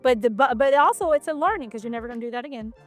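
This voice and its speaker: A woman's voice: high-pitched, very fast, soft background music. A woman from Michigan hosting a casual business chat, talking in an enthusiastic, chatty way full of "like". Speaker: host.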